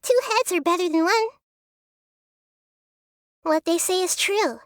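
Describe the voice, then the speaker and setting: A woman voicing a small, childlike but wise deity in a video game, gentle, curious and thoughtful. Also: very high-pitched, slow pace, no room sound, studio quality. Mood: friendly, inquisitive. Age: child-looking, ancient figure. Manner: gentle, thoughtful, storybook-like phrasing. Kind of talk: scripted video game character voice lines.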